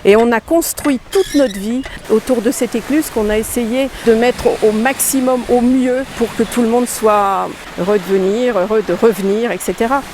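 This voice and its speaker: A woman's voice: on the high side, normal speed, noisy background. An older woman, a lock keeper, speaking in a heartfelt, reflective way in an interview. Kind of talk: interview testimony.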